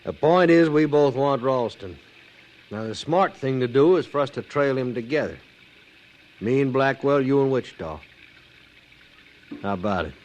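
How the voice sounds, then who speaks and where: A man's voice: mid-range voice, animated, moderate pace, long pauses, a little mellow, highs cut off, natural voice. An actor playing a self-assured, conciliatory American frontier lawman in an old western radio drama, with a folksy, persuasive manner. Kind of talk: 1950s western radio acting. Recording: noisy old radio recording.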